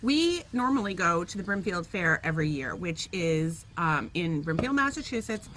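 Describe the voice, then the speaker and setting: A woman co-hosting a podcast in casual chat, an animated storyteller who laughs often and swears freely. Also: lowish voice, moderate pace, background noise. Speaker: podcast co-host.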